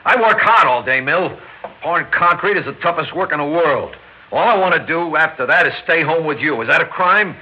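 An actor playing a hot-tempered but devoted young working-class American husband in a classic radio drama, with rough, stammering speech. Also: male voice, fairly high pitch, lively intonation, brisk. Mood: heated, pleading. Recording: muffled old radio recording, faint noise.

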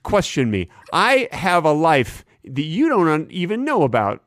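A man co-hosting a comedy podcast, bantering in a deadpan, playfully evasive way. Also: a little high, a little slow, no echo, studio quality. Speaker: podcast co-host.

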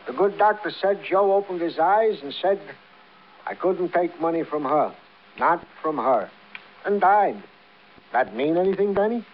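An actor playing a colorful American police colleague with a high, thin voice, as a character part in old-time radio drama. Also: male voice, animated, measured pace. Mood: colorful, urgent. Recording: muffled, noisy vintage radio broadcast.

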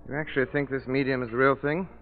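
Vintage radio hero male actor: sophisticated, doubting gentleman, brisk clipped lines, medium register, narrow phone-like audio. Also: mid-range voice, fairly even intonation, a little hiss or hum, lightly acted. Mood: probing, sceptical. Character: urbane, sceptical gentleman sleuth. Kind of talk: 1940s radio acting. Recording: phone-like old radio recording.

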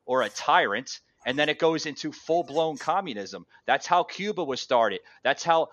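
A man's voice: fairly high pitch, expressive, moderate pace, a bit bright, dull audio. A man hosting an unscripted political debate, insistent and repeating his phrases for emphasis. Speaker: talk show host.

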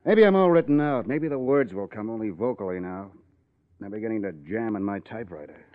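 A man's voice: fairly low pitch, expressive, fairly quick, natural voice. Casting: man playing wry, boozy American columnist, swooping mid-range voice, old radio drama through a tinny narrow band. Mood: needling, bitter. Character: cynical hard-drinking male newspaper columnist, wry and needling.

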